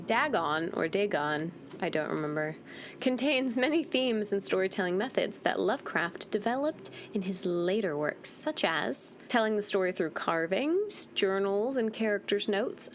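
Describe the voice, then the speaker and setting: A woman's voice: medium pitch, slight background noise. A woman giving casual, irreverent commentary as she hosts a game playthrough, with a teasing, wry manner. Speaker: gaming video host.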